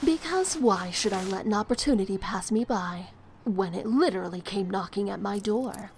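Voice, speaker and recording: A woman plays a young streetwise woman in an audio drama, cynical and scheming but protective. Her voice sits at a medium pitch, with some ambient noise behind it. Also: expressive, moderate pace, darkish tone, natural voice. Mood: sly, resigned. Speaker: voice actor.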